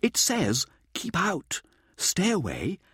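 An eager showman of a scientist , acted out by a male audiobook reader, pitched far up from a normal male register. He lectures and presses his listeners theatrically, with big swings in pitch and clean, dry sound. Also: huge pitch swings, takes it slowly, fairly bright tone, husky, no room sound, exaggerated voice. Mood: intense. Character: eager, showboating male expedition scientist, excitable and insistent. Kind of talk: audiobook character dialogue.

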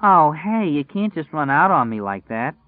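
A man voicing a strident young woman from the Bronx with a New York accent, shrill and whiny, in a slightly dull lo-fi recording. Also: big pitch swings, moderate pace, dry recording. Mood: indignant.

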